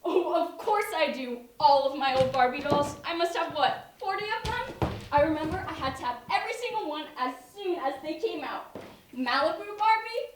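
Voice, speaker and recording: A woman acting a flustered, chatty, sentimental woman in a one-act stage comedy, excitable and hesitant as she talks. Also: high voice, moderate pace. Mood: eager, defensive. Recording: echoey stage from far off, noisy.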